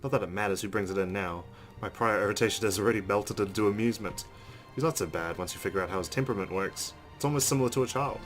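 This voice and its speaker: A man's voice: on the low side, quick pace, fairly bright tone. A man hosting a let's play, reading visual novel narration aloud. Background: game music.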